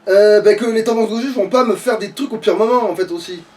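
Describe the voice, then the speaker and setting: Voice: medium pitch, fast, darkish tone, distant mic, slight background noise. An exasperated video game player chatting casually and swearing heavily during a let's play. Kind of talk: casual let's play chat while playing.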